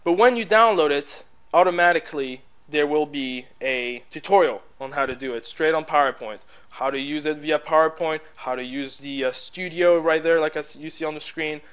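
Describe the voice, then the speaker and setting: A man with a French accent giving a casual software tutorial over his screen, rambling with lots of fillers and "blah, blah, blah". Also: on the high side, moderate pace, smooth, muffled recording. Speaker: tutorial presenter. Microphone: plug-in headset mic.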